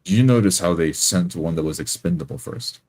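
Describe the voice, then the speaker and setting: A man playing a grave, distrustful adventurer who speaks in a deliberate, brooding way. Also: low voice, animated, vocal fry, a little mellow, exaggerated voice.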